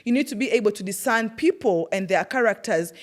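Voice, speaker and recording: A woman's voice: medium pitch, fairly quick. A young Ugandan woman with a thick Ugandan English accent, hosting a personal reflective monologue and telling stories with laughter and plenty of fillers. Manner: animated storytelling with laughter and fillers. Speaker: host.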